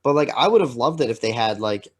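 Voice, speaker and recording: A male podcast co-host speaks at a medium pitch in a loose, casual style. He rambles and halts, circling through his thoughts with plenty of filler words. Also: animated, breathy edge. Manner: halting, rambling delivery peppered with "like". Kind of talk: casual chat.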